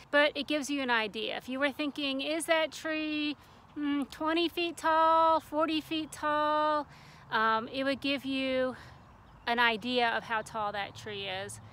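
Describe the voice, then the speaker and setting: A middle-aged woman with an American accent and a high voice explains things in a plain, informal teaching style. She is recorded outdoors on a camera microphone, with faint ambient noise behind her. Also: sharp tone. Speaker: extension forester, instructor. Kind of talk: informal instructional demonstration. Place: outdoors in a grassy, tree-lined park.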